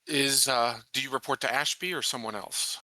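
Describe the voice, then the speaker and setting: A cautious colony leader, played by a man speaks with formal courtesy and frequent hesitation. The voice is very bright and forward, at a middling pitch, recorded dry and close. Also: moderate pace, dry recording, low-quality recording. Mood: polite, cautious.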